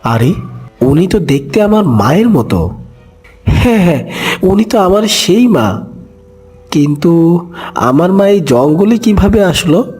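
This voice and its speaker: A man's voice: sits high, very animated, unhurried, pauses often, darkish tone, husky, soft background music, voice pushed up, exaggerated voice. A man voicing a kind, brave and clever young duckling in a cartoon animal fable, earnest and coaxing. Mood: worried, surprised.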